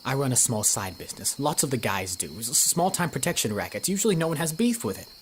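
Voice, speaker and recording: A man's voice: mid-range voice, big pitch swings, fast, slight background noise, lightly acted. A man playing a cornered small-time racketeer in a noir radio drama, talking in a grudging, defensive, streetwise way. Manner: defensive, hesitant streetwise talk.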